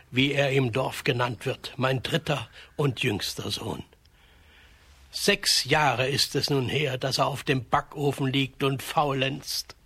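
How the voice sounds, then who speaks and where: An actor playing a gentle, worried old peasant father in a children's radio play, husky and solemn in his pleading. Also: medium pitch, full character voice. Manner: earnest, pleading, old-fashioned solemn wording.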